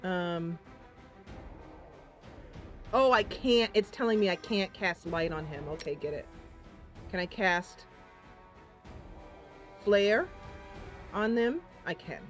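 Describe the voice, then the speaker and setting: A woman's voice: on the low side, slow, long pauses, faint music behind. A woman giving casual, chatty let's play commentary, thinking aloud and reacting as she plays. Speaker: gaming channel host.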